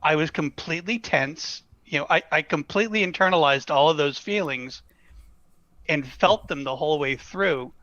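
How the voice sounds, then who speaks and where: A man's voice: on the high side, normal speed, pushed and bright, no room sound. A man guest talking casually on an online call with slightly dull audio. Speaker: guest fan performer. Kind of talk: casual chat.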